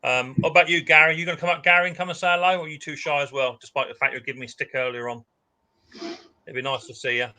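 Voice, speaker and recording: A man's voice: on the high side, fairly even intonation, fast talker, bright, forceful tone. A man hosting a casual livestream, chatting and teasing his viewers. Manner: chatty, teasing, banter-heavy. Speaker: livestream host.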